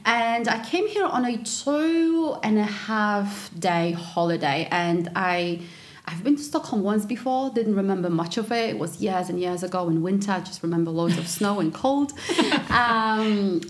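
A woman in her thirties who grew up speaking Polish recounts her travels at an unhurried, reflective pace. She talks casually as a podcast guest, with a middle-range voice, plenty of "um"s and restarts, and the odd easy laugh. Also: medium pitch. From Poland. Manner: reflective storyteller, frequent fillers and restarts, laughs easily. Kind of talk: casual interview chat.

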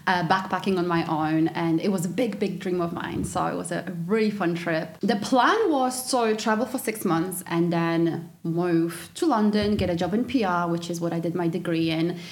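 A woman's voice: lowish voice, normal speed, few pauses. Polish-born woman telling her travel story. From Poland. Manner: reflective storyteller, frequent fillers and restarts, laughs easily. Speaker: podcast guest. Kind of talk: casual interview chat.

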